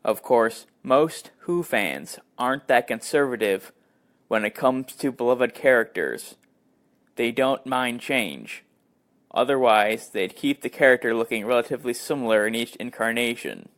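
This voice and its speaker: A man's voice: mid-range voice, expressive, unhurried, a bit bright. A man hosting a podcast and reading a scripted review in the manner of a narrator. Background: music.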